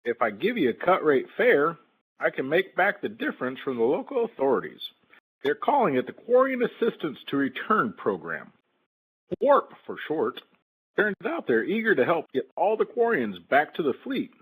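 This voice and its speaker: A man playing a glib, sleazy shuttle pilot in a game-based audio drama, smooth-talking like a salesman. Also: a little high, huge pitch swings, moderate pace, a bit bright, full character voice, poor audio. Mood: falsely reassuring. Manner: smooth-talking, falsely reassuring salesman patter. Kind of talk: fan audio drama, game-story character acting.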